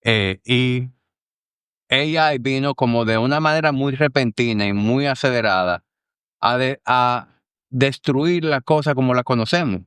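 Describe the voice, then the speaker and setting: A man's voice: medium pitch, measured pace, a bit bright, clean tone, no room sound, clean studio sound. A man with a Dominican accent hosting a podcast, chatting casually with slangy, conversational phrasing. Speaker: podcast host. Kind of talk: casual interview chat.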